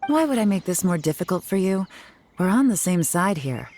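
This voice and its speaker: A woman's voice: fairly low pitch, big pitch swings, a little mellow, slight background noise. An actress playing a self-assured, teasing woman investigator in a video game, speaking in a composed, wry manner. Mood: probing. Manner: composed, wry, measured storytelling. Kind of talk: video game character dialogue. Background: music.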